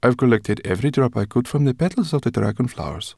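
Man playing a gentle, reassuring woman, lifted a touch, gravelly. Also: medium pitch, lively intonation, fast, dry recording, clean studio sound, pitched above the performer's own voice. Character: kind, gentle woman healer and host.

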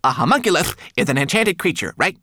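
A man voicing a tiny, excitable homunculus in an audiobook, anxious and snippy, with a shrill, strained voice. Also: unhurried, no room sound, studio quality. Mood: snappish. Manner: agitated, stammering, pleading. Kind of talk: audiobook character voice.

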